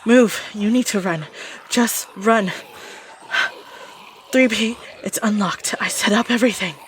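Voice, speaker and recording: A woman's voice: mid-range voice, a little slow, slight background noise, close to an everyday voice. Urgent, protective woman survivor acted out by a woman. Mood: urgent, tense. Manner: urgent, clipped commands. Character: desperate, protective woman survivor guiding a friend through a zombie outbreak. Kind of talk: dramatic audio roleplay.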